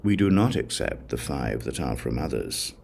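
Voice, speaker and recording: A man with an extremely low, gravelly voice narrates a sacred text solemnly. He reads slowly and deliberately in a measured recitation, like a scripted audiobook, with lively rises and falls in pitch. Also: a little hiss or hum. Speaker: audiobook reader.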